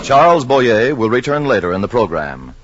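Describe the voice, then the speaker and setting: Dramatic man announcing over loud music. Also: medium pitch, very animated, moderate pace. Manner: formal, dramatic, emphatic. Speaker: radio announcer. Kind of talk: 1940s radio announcing, scripted. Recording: dull vintage radio recording, loud music.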